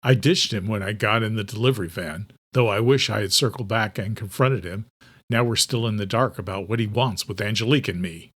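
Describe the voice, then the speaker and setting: A man's voice: fairly low pitch, fairly quick, fairly bright tone, slightly rough. Man acting a composed, serious investigator. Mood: earnest.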